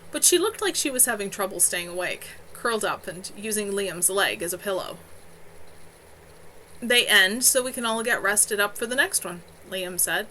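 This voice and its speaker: A woman reads fiction aloud in an expressive, storytelling style. Her voice is bright and forward, with a very lively pitch that moves between narration and animated dialogue. There is faint noise in the background of the home recording. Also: low-quality recording. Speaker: author reading own novel. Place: at home on a live stream.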